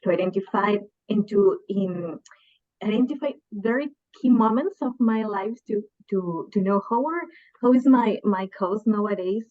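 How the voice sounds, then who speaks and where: A woman's voice: mid-range voice, moderate pace, narrow, tinny audio. A woman in her twenties with a Peruvian Spanish accent, speaking on a panel, hesitant and searching for words with many restarts. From Peru. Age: 20s. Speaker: panelist, development communicator. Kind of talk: panel discussion.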